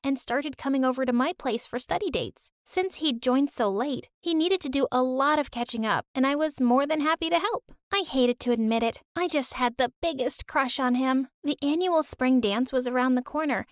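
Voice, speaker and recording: A woman's voice: high-pitched, moderate pace, hardly any pauses, no room sound, clean studio sound. A young woman narrating a scripted first-person cartoon story in the role of a teenage girl.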